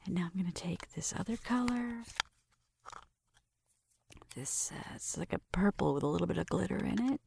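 A woman performing an ASMR roleplay in a gentle, breathy whisper, murmuring through each step close to the microphone. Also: lowish voice, lively intonation, moderate pace, long pauses, a little hiss or hum. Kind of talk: ASMR roleplay, soft personal attention. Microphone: close microphone, dry sound.

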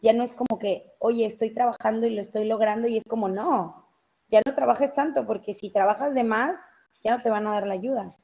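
A woman's voice: a little high, steady tone, a little fast, mellow, rough recording. Brief: woman host from Mexico, Mexico City accent, rambling casual talker full of fillers. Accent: Mexico City Spanish. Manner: talkative, many fillers and self-interruptions. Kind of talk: casual live news chat.

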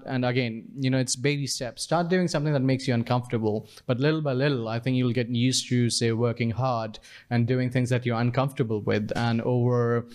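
A podcast host who talks in a measured, steady way, slowing down when reflecting. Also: mid-range voice. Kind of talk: casual interview chat.

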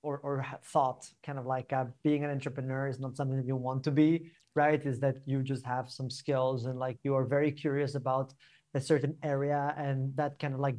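A man's voice: mid-range voice, a little mellow. A young man with a Spanish accent, chatting informally and discursively as a podcast guest, often checking in with "right?". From Barcelona, Spain. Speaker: podcast guest, startup co-founder. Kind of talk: casual interview conversation.

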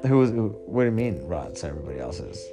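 A man's voice: sits low, big pitch swings, fast. A middle-aged man chatting casually as a podcast host, teasing and probing with short, playful questions. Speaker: podcast host, father interviewing his child. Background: music clearly audible.

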